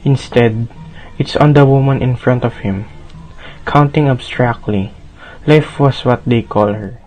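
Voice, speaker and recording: A man's voice: mid-range voice, a little slow, a little mellow, rough recording. A man narrating a radio drama as a scripted storyteller.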